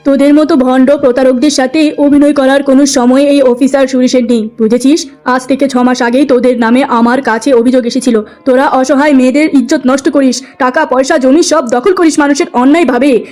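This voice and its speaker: A woman's voice: sits high, steady tone, brisk, darkish tone, soft background music, natural voice. A woman voicing a kind, earnest young man, a humble servant who is secretly a police officer, in a cartoon story. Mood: stern. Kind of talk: cartoon moral story acting.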